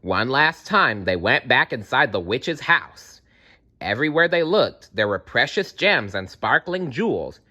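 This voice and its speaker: Animated male storyteller with a bright voice. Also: mid-range voice, a little slow, lo-fi sound. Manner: animated, playful, reacts to the story. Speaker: storyteller reading aloud. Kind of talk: expressive fairy-tale read-aloud with asides.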